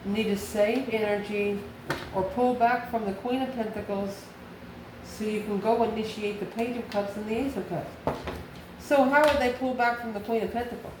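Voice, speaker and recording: A woman's voice: fairly high pitch, normal speed. A woman giving an informal tarot reading straight to the viewer, musing aloud and answering her own questions. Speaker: tarot reader and channel host. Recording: echoey room with steady background noise.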